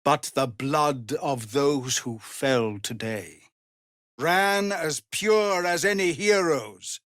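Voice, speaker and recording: An actor playing a weary, dignified middle-aged tiefling ex-soldier in a video game, speaking in a measured, formal and earnest way. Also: male voice, on the high side, a bit bright, dry recording, studio quality. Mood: rousing. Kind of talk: video game character dialogue.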